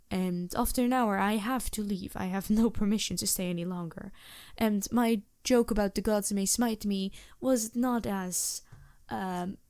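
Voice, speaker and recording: A woman voicing a polite, anxious and gentle vampire with formal, earnest phrasing in a fantasy audio roleplay. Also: medium pitch, natural voice. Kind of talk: fantasy ASMR roleplay acting.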